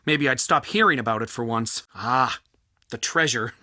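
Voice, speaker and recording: A man's voice: medium pitch, moderate pace, pushed and bright, no echo, clean studio sound. A man playing an eccentric, anxious, bookish museum curator in a video game, with a theatrical, wordy and wryly dramatic delivery. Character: eccentric, bookish, anxious male culture center curator Soren.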